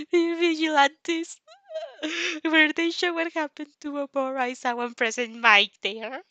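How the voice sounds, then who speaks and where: A woman's voice: sits high, steady tone, measured pace, bright, forceful tone, no echo, dull audio. A woman reading fan fiction aloud expressively, with casual asides to her listeners. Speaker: reader and fanfic reactor. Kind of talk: expressive story narration with casual reaction asides.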